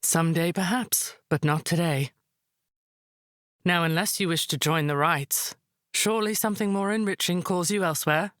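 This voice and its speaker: A woman playing a proud, resolute high priestess, formal and composed, in a visual novel drama. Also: normal speed, a bit bright, dry recording, studio quality, close to an everyday voice. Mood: coolly defiant.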